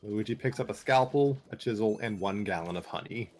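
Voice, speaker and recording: A man's voice: on the low side, fairly quick, a little mellow. A man serving as narrator of an amateur comic story, reading in a casual style.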